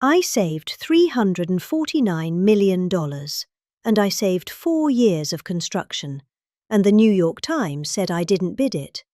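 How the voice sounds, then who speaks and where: A middle-aged British woman giving a calm, expressive dramatic reading of a rambling speech. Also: mid-range voice, dry recording, studio quality. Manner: expressive, calmly measured delivery.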